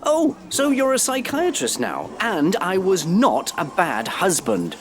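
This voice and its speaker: A man's voice: high voice, sing-song, slow, slight background noise, full character voice. A man acting in an audio play as a prim, bookish, easily exasperated character, pedantic and stuffy. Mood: indignant, exasperated. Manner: pedantic, stuffy, hesitant when flustered.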